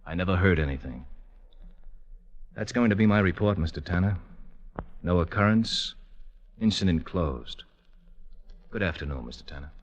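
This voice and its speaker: Stubborn lieutenant, lowish voice, official, matter-of-fact, firm, 1950s radio drama. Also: male voice, expressive, moderate pace, pauses often, lo-fi sound. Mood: firm, resigned. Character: sober, stubborn male lieutenant, dutiful and unyielding.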